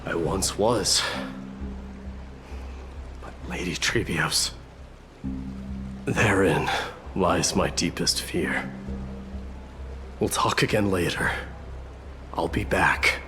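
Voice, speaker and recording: A man's voice: low-pitched, extremely slow, long pauses, over music. A man playing a proud, stern warrior prince in a video game, speaking in a formal, grave, restrained way. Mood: solemn, resolute.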